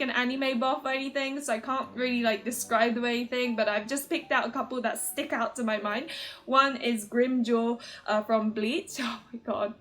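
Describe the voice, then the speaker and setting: A woman's voice: a little high, fairly bright tone. A woman hosting a fan video, chatting casually to viewers in a giggly, rambling, self-deprecating and enthusiastic way. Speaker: video host, otome game reviewer.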